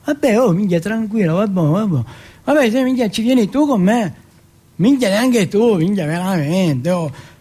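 A cocky teenage street kid, played by a man on stage, husky and fairly high. He talks in slangy, repetitive bursts thick with swearing. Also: expressive, normal speed, mellow. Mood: aggrieved. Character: cocky, foul-mouthed teenage street boys of Turin.

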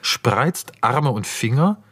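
A man's voice: mid-range voice, lively intonation, slow, fairly bright tone, breathy edge, dry recording. A man narrating scenes and stage directions for a radio play in a precise, matter-of-fact way.

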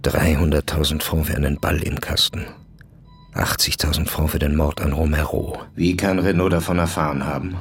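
An actor playing a commanding French police commissioner in a crime radio drama, with clipped, businesslike questioning. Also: male voice, a bass voice, little pitch movement, normal speed. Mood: probing, matter-of-fact. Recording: music under the voice.

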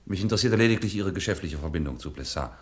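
An actor playing a composed, courteous police inspector who questions suspects formally and insistently in a radio crime drama. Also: male voice, low-pitched, expressive, racing. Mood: probing, insistent.

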